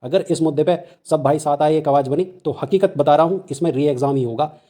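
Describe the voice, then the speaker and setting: Higher-pitched young North Indian man, mellow tone. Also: brisk. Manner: insistent, colloquial, addresses listeners as brothers, repeats points for emphasis. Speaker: exam-news channel host and candidate activist. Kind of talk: informal explanatory talk to viewers.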